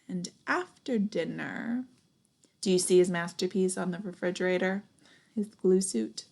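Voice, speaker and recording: Young lady doing a kids book read aloud, takes it slow, bit of gravelly fry, asks the listeners stuff. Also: lowish voice. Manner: expressive, deliberate, questions and comments to young listeners. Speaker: storybook reader, teacher.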